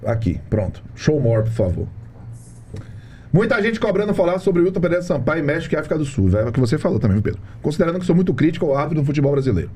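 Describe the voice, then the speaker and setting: A man hosting a live sports talk show, energetic, steering the talk and reading out posts. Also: a little high, very fast, a little hiss or hum. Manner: energetic, directs the producer, reads posts aloud. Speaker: show host.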